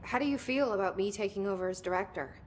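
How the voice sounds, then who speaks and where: Woman acting young agency director in a game, candid n determined, medium pitch, bit of rumble behind her. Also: fast, natural voice. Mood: candid, tentative. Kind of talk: naturalistic video game acting.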